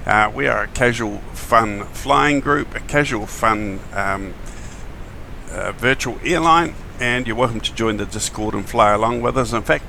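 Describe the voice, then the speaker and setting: Middle-aged kiwi guy, croaky gravelly morning voice, bright tone, chatty and full of ums. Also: fairly low pitch, slight background noise. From New Zealand. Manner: chatty, friendly, many fillers and restarts. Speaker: flight sim streamer. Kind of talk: casual live-stream chat.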